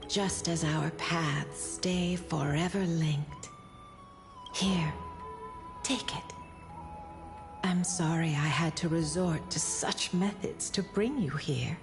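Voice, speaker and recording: Ancient gentle village chief: low, unhurried, poetic and breathy game delivery over prominent music. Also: female voice. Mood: motherly. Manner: cryptic, poetic, motherly, breathing into whispers. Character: wise old gentle chief of fairy creatures. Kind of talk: video game character acting.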